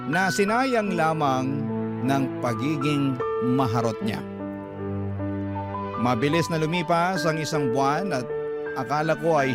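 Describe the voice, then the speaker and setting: A man reading a scripted first-person story as a radio drama narrator. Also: a little high. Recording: prominent music under the voice.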